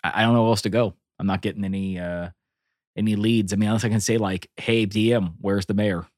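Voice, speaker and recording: Low-voiced man playing a tabletop game. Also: steady tone, no echo, studio quality. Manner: halting, thinking out loud. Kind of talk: casual improvised table chat.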